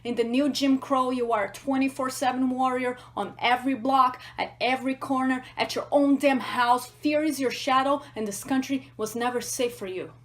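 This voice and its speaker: A woman's voice: sits high, fairly even intonation, normal speed, hardly any pauses. A woman delivering an emotional spoken-word address to her listeners.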